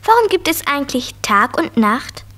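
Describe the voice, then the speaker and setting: Eager little girl for kids' audio drama. High, lively, full of questions. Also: normal speed, heavily acted. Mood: curious. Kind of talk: 1970s children's audio play acting.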